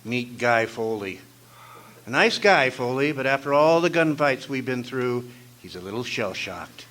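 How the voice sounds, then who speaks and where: An actor playing a wry, deadpan hardboiled private detective, narrating and trading lines in an old-time radio noir style. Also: male voice, medium pitch, measured pace. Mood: wry narration. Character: wisecracking hardboiled 1940s private detective, narrating his own case. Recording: faintly noisy live stage recording.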